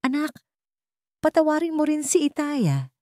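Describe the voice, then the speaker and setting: A woman plays a wealthy father in an audio drama, tender and remorseful. The delivery is soft, earnest and protective, with lively pitch and a dry recording. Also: moderate pace, no room sound.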